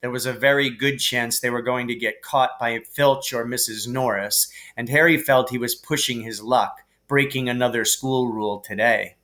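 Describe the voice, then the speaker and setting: Bright-voiced man reading a story aloud. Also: medium pitch, moderate pace, few pauses. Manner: expressive, character voices for dialogue. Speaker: reader, teacher reading aloud. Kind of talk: audiobook narration of a children's fantasy novel.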